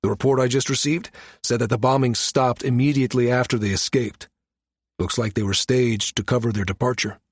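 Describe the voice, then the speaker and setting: A man plays a firm station security chief in a science fiction audiobook. He speaks with measured, matter-of-fact authority at a middle male pitch. The recording is very dry. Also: steady tone, no echo, close to an everyday voice. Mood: grave. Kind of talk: audiobook character dialogue.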